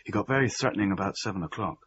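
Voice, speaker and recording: A man's voice: fairly low pitch, very fast, a bit bright, slightly rough, dull audio. An actor playing a young man with a British accent, recounting events in a wry, conversational way. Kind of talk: radio drama narration.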